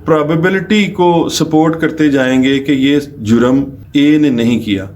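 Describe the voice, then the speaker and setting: Man, Pakistani accent, lawyer lecturing, methodical, explanatory, English legal terms mixed in, medium pitch. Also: darkish tone. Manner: methodical, explains step by step with restatements. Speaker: lawyer giving a law lecture.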